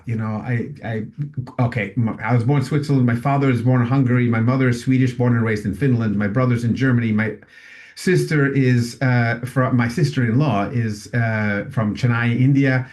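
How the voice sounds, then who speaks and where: A man giving a reflective, philosophical talk at an online session, pausing often and restarting his sentences. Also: mid-range voice, a little mellow. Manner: halting, many restarts and fillers; musing and philosophical. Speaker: session speaker.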